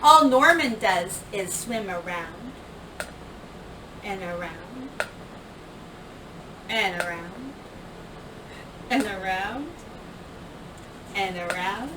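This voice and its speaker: A woman reading a children's picture book aloud like a teacher, with expressive, emphatic delivery that acts out the words. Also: medium pitch, drawn-out words, long pauses. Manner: animated, emphatic delivery with acted-out dialogue and sound words. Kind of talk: expressive storybook read-aloud. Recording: roomy, distant microphone, clear background noise.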